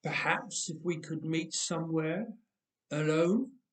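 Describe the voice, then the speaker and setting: A man's voice: a little high, slow. A man voicing a resolute assassin posing as a humble envoy in a storybook reading, formal and deferential. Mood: deferential, secretive. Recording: roomy, echoing indoor sound.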